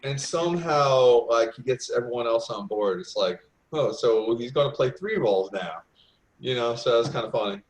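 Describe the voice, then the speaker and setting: A man's voice: mid-range voice, animated, moderate pace, rough recording. A man giving casual, chatty feedback in a group discussion. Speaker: table read participant giving feedback. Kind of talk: casual chat.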